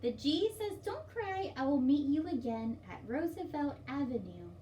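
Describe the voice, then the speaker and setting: High-voiced woman leading children's story time. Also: animated, normal speed, dark tone. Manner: simple, encouraging talk with questions to children. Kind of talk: sing-along and picture book read-aloud for young children. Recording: distant mic, faint background noise.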